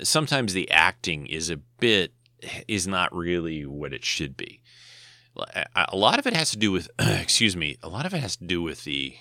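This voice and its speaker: A man's voice: very deep voice, bright, forceful tone, no echo. A middle-aged man with a dry throat, hosting a casual solo podcast, rambling with many restarts and fillers. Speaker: podcast host.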